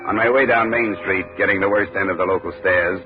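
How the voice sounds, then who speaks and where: An actor playing a sardonic, wisecracking insurance investigator who also narrates a 1950s radio detective drama in a tough, blunt style. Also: male voice, sits low, fairly even intonation, brisk, over music, close to an everyday voice. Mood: wry narration. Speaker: lead and narrator of detective series. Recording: noisy narrow-band old radio broadcast.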